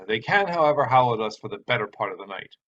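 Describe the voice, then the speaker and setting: A man's voice: medium pitch, expressive, fast talker, fairly bright tone, lightly acted. A man voicing a sardonic, world-weary male traveler with a dry, sighing manner. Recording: dull sound with background hiss.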